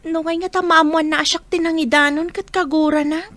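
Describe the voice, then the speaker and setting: Woman acting high-voiced, earnest young student girl. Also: fairly even intonation, a little fast, fairly bright tone, no room sound. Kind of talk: amateur audio drama acting.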